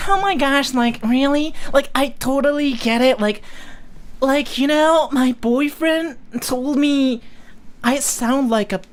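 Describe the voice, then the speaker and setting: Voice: sits high, slow pace, voice pushed up. A streamer voicing a ditzy, gossipy young woman with a Valley Girl accent, gushing and slangy. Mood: gushing, dramatic.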